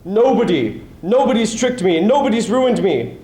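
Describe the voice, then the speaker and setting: A man voicing a blinded Cyclops giant in a dramatic recitation, bellowing loudly in anguish. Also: sits high, big pitch swings, normal speed, voice pushed up. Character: roaring blinded male Cyclops giant in agony. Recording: echoey hall recording.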